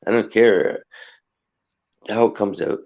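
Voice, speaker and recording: A man with a raspy voice hosting a casual livestream chat, rambling earnestly about energy, respect and nature. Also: fairly low pitch, slow, darkish tone, highs cut off. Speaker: livestream host.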